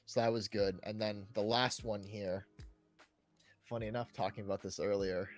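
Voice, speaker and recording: A man's voice: on the low side, steady tone, moderate pace. A man co-hosting a podcast, chatting casually and enthusiastically about favourite games, often saying "like" and "right". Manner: enthusiastic, peppers talk with "right" and "like". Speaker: podcast co-host. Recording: background music under the talk. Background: background music.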